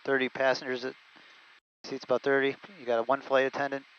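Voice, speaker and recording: A man of medium pitch talks very fast and casually as a pilot in a small jet cockpit. His voice comes through an aviation headset, slightly dull and muffled. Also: flat delivery, fairly bright tone, clean tone. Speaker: pilot, guest co-pilot. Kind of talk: casual chat, recounting flying career.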